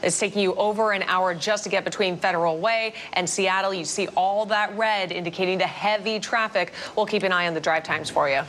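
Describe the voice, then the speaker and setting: A woman anchoring a morning news broadcast, reading scripted stories in a crisp, measured way. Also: medium pitch, fairly even intonation, fairly bright tone, slight background noise.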